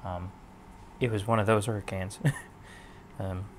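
Hoarse young man, low and relaxed. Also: a little slow, slight background noise. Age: 20s. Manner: relaxed, meandering, many fillers. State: losing his voice. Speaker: podcast host. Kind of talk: casual solo podcast monologue. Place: lying in bed at home.

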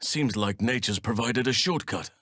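An actor playing a gentle, solemn druid leader in a video game, speaking in a measured, formal, earnest manner. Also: male voice, medium pitch, no echo, clean studio sound, lightly acted. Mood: thoughtful. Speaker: video game companion character. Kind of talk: video game voice acting, formal fantasy dialogue.

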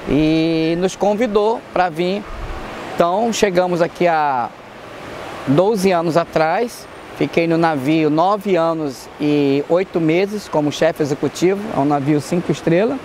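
A middle-aged man chef chats about his restaurant and gestures as he talks. His voice is high for a man. He is recorded outdoors on a lapel mic, with faint background noise. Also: unhurried, a little mellow. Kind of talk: on-camera interview. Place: outdoor square by a market.

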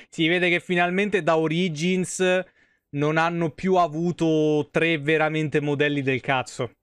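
A man in his thirties doing casual live game commentary. His voice sits a bit high, and he talks colloquially with swearing into a close microphone, very dry and without room echo. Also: clear, resonant tone. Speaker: game streamer. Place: small room at home.

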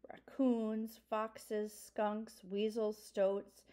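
A woman voicing a stout, stern deer mouse father who lectures gravely and self-importantly in a storybook reading. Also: mid-range voice, flat delivery, drawn-out words, mellow.

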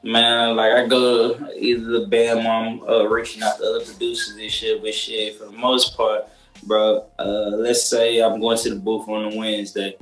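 Young guy on a couch video call, low voice, chill slangy talk, echoey room. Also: completely flat delivery, normal speed, fairly bright tone. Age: 20s. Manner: laid-back, loose slang, many fillers. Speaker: rapper guest. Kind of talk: casual interview chat. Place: living room couch, indoors. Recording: roomy echo, faint music.

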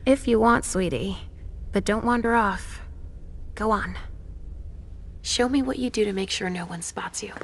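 An actress playing a troubled, tender vampire seer mother in a video game, speaking in a hesitant, halting way. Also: mid-range voice, a little hiss or hum, lightly acted. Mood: gentle. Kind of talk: dramatic game cutscene acting.

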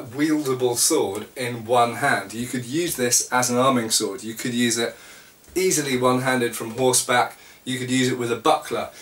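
British male host, 40s, congested voice, casual explainer, echoey room, distant camera mic. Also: mid-range voice. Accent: British English. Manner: relaxed, explanatory, with many fillers. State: congested, recovering from lost voice. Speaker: channel host. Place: small room indoors. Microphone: camera mic at a short distance.

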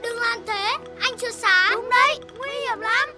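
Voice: very high voice, measured pace, loud music behind the voice. An actor voicing a small, eager young animal in a cartoon, childlike and earnest. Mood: worried.

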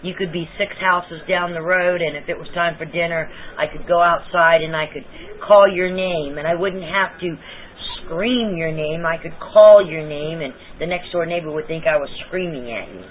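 Loud-voiced woman on tinny voice chat. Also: on the low side, moderate pace. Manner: loud, carrying voice. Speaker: study group participant. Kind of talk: casual chat. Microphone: mic set far off at the end of her desk.

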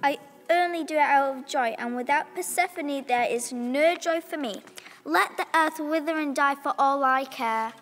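A woman plays a devoted harvest goddess and mother in an audio drama. The voice is very high and earnest, in a long reverb. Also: moderate pace, a bit bright. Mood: grieving, demanding. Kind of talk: school audio drama acting.

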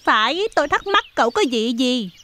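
Woman as a high, swooping cartoon hen. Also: sing-song, moderate pace, fairly bright tone. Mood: curious. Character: lazy, curious hen perched on a fence. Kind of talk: children's cartoon dub.

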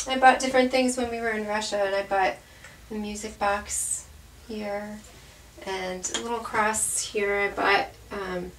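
Even-toned woman in an echoey room. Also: mid-range voice, unhurried. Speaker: adoptive parent, interviewee. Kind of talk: reflective documentary interview. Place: room at home, indoors. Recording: echoey room, distant mic, faint noise.

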